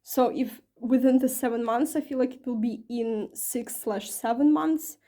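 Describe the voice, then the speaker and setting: A woman's voice: a little high, normal speed, warm tone. A woman giving a casual tarot reading to her viewers, chatty and full of fillers and self-corrections, her voice a little strained from talking for a long time. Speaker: tarot reader.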